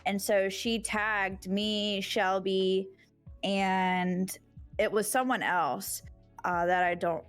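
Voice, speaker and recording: Relaxed woman rambling casually. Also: medium pitch, takes it slowly, smooth, dry recording, faint music behind. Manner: relaxed, rambling, many fillers. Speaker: streamer guest. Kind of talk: casual interview chat.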